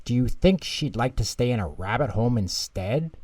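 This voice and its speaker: A man voicing an earnest, caring and eager young schoolboy in an expressive children's storybook reading. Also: medium pitch, moderate pace, no echo, close to an everyday voice. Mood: apologetic, determined. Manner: expressive, exclaiming.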